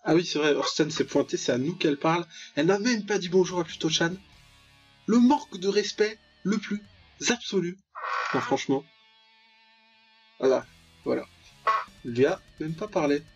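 A man's voice: on the high side, expressive, normal speed, long pauses. A young man giving casual, jokey, mock-dramatic commentary while playing a visual novel, muttering asides and reading text aloud. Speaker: gaming video host. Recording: dull lo-fi voice track over clear music.

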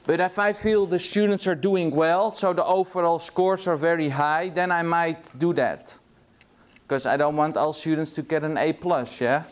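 A middle-aged man from the Netherlands with a Dutch accent, giving a casual university lecture in a large hall and checking in with "yeah?" as he goes. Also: high-pitched. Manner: casual, chatty, tags remarks with "yeah?". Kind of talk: classroom lecture.

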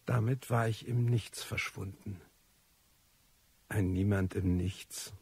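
An actor playing a disillusioned, cynical recluse who tells his life story in a radio drama, wry and bitter, with a husky, gravelly voice. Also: on the low side, steady tone, takes it slowly, a little mellow, no room sound, heavily acted. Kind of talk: radio drama first-person monologue.

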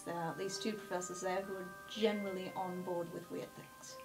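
A woman's voice: fairly low pitch, flat delivery, a little fast, creaky. A woman playing a tabletop roleplaying game, speaking casually as she improvises. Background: music.

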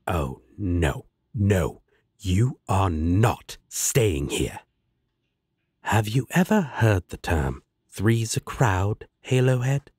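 A man's voice: fairly low pitch, sing-song, drawn-out words, darkish tone, husky, dry recording, clean studio sound, full character voice. A man playing a sly, laid-back demon roommate in an audio drama, teasing and sardonic with a coaxing drawl. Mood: smug, sardonic. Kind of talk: roleplay audio drama acting.

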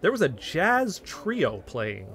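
An actor playing an earnest amateur investigator, narrating events in first person as scripted audio drama. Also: male voice, fairly high pitch, huge pitch swings, takes it slowly, a bit bright, full character voice. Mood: earnest, intrigued.

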